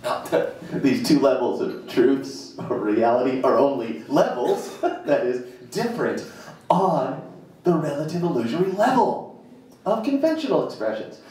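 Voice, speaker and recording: A man giving a theatrical live spoken-word reading of a monologue, with emphatic dramatic pauses and a playful manner. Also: mid-range voice, big pitch swings, darkish tone. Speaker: reader performing a written monologue. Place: indoor jazz club stage, live event. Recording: very echoey live venue, distant mic, noisy.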